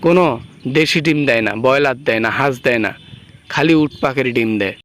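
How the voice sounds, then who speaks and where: A man's voice: mid-range voice, fast talker, a bit bright, slight background noise, natural voice. A man playing a frightened, guilty prisoner in an amateur comic phone skit, stammering and pleading with exaggerated complaints. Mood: whining. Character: frightened male prisoner, guilty, whining, woken by dream caller.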